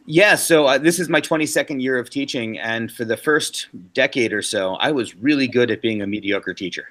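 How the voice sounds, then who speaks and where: A man's voice: mid-range voice, fairly quick, a bit bright, no room sound. An American man in his forties, a science teacher, answering interview questions fluently and with self-deprecating reflection. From United States. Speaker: guest teacher.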